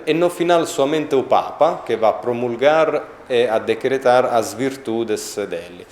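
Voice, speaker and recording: A man with an Italian accent, speaking a second language in a documentary interview, pausing often to find his words. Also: on the low side, a little mellow, echoey room, slight background noise. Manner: halting, searching for words, many pauses. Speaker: interviewee on a sainthood cause.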